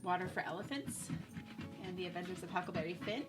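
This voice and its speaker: A woman's voice: lowish voice, fast, loud music behind the voice. A woman in her thirties presenting as a school librarian host, talking casually to camera for students. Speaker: school librarian hosting a virtual library show.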